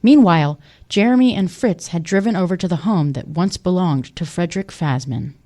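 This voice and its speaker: A woman's voice: fairly low pitch, moderate pace, dark tone. A woman reading scripted narration for an audio drama in the past tense.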